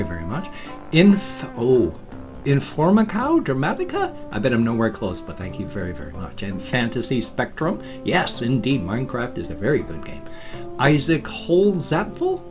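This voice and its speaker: A man in his fifties from the United States, chatting casually in a vlog and drawling his thank-yous slowly. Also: medium pitch. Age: 50s. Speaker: vlogger.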